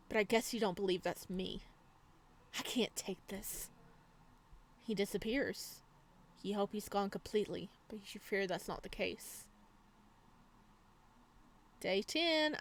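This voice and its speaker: A woman gaming YouTuber reading visual novel text aloud, mixed with casual commentary. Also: mid-range voice.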